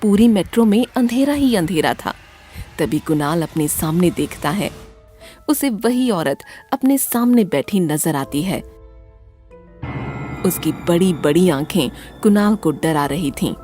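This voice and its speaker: A woman with a fairly low voice tells a horror story. Her scripted narration is dramatic and very lively in pitch, over soft background music. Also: unhurried.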